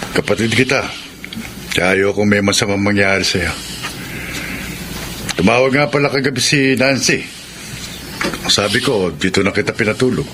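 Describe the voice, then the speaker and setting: An actor playing a concerned older brother in a Filipino radio drama, talking in a protective, advising manner. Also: male voice, on the low side, moderate pace, pauses often, close to an everyday voice. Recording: clear background noise.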